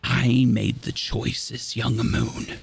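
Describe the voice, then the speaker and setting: A man playing a bitter, theatrical villain in a tabletop roleplay, delivering grandiose, deliberate, impassioned speeches. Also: mid-range voice, flat delivery, creaky, husky, soft background music, exaggerated voice. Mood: grave, embittered. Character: bitter, theatrical villain justifying his fall from hero. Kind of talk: dramatic in-character monologue in a tabletop roleplay.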